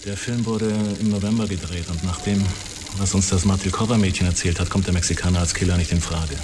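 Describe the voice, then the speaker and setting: An actor playing a measured, factual police investigator giving a report in a radio drama. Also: male voice, sits low, fairly even intonation, close to an everyday voice. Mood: businesslike. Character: matter-of-fact male police investigator reporting to his chief. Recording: clear background noise.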